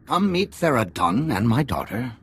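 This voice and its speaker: A man playing a shrewd, wry undercover agent in a video game, with a gravelly, slightly husky voice and a measured, dry delivery. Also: medium pitch, big pitch swings, fairly bright tone, full character voice. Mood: welcoming, formal. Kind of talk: video game cutscene acting.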